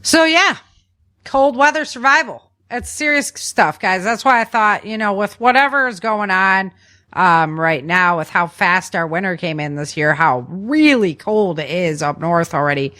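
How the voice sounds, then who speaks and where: A woman's voice: medium pitch, expressive, unhurried, sharp tone. A woman hosting a podcast in an informal, conversational way, repeating words for emphasis as she chats and runs through news. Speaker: podcast host. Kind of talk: casual podcast chat and news rundown.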